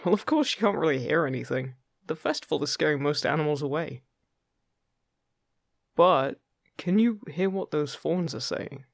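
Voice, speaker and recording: A man playing a friendly, upbeat fairy guide in an intimate fantasy roleplay, chatty, gentle and encouraging with the listener. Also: a little high, animated, normal speed, gravelly, dry recording, studio quality. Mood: playful, instructive. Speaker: voice actor in an audio roleplay.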